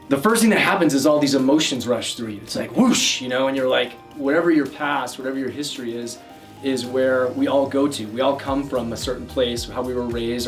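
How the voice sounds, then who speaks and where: A man working as a love and communication coach, giving loud, energetic advice straight to camera, with plenty of fillers and restarts. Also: mid-range voice, distant mic. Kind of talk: direct-to-camera advice talk.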